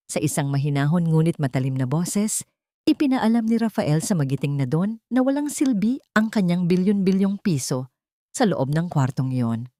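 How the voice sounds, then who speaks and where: A woman narrator reading a scripted dramatic audio story in a measured, theatrical storytelling way. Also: on the low side, mellow, no echo, clean studio sound.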